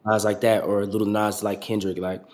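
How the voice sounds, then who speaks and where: A man's voice: on the low side, little pitch movement, moderate pace, darkish tone. A young man in his twenties, a rapper guest talking casually and profanely in an interview, rambling with lots of fillers.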